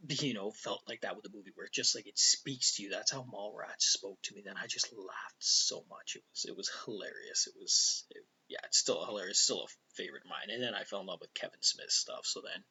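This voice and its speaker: A man's voice: fairly low pitch, fairly quick, vocal fry, pushed and bright, breathy edge, low-quality recording. A middle-aged Canadian man with a Canadian accent, rambling casually as host of his own vlog, often sliding into a near whisper. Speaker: vlogger host.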